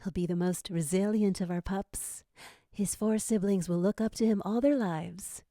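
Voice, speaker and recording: A woman's voice: mid-range voice, moderate pace, mellow, no room sound, clean studio sound, close to an everyday voice. A woman playing a doting, proud jackal mother in a story, cooing tenderly.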